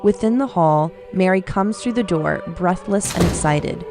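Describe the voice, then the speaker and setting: A woman's voice: lowish voice, a little slow. A woman narrating a scripted radio drama, reading out the action as narrator. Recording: music and crowd noise behind. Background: music and crowd bustle.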